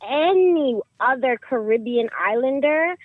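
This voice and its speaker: A woman's voice: sits high, lively intonation, a little slow, no room sound. A woman from Guyana with a Guyanese accent, calling in to give her opinion bluntly and emphatically. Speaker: caller. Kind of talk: call-in opinion.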